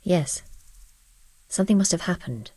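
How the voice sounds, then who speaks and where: Casting: bereaved villager woman, game drama, frank and subdued, dark warm tone, dry recording. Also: fairly low pitch, animated, measured pace, breathy edge, no room sound. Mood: troubled. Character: shaken, bereaved medieval village widow, frank and weary. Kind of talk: dramatic video game acting.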